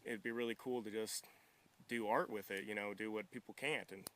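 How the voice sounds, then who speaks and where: A man's voice: lowish voice, fairly even intonation, fairly quick, fairly bright tone, a little hiss or hum. A young man giving casual interview answers in a polite, modest, easygoing manner. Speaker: interview guest, military veteran and welder.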